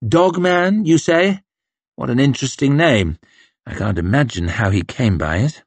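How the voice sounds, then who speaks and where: Arrogant young officer, high sneering tone , voiced by a male narrator. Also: sing-song, moderate pace, breathy edge, no room sound. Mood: mocking. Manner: haughty, sneering, snapping.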